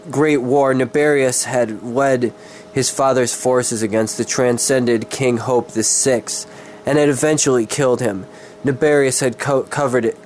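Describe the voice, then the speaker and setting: A man's voice: mid-range voice, a little mellow, a little hiss or hum, low-quality recording. A man who is sick gives a dramatic reading of fantasy as an amateur, tripping over his words and swearing in casual asides. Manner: stumbling, tongue-tied, sweary. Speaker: amateur reader of his own fantasy story.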